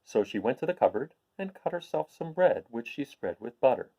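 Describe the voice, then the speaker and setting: A man reading a storybook aloud as a host, in an expressive, explanatory manner. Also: mid-range voice, fairly quick, gravelly, husky, rough recording. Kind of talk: expressive storybook read-aloud with informal introduction.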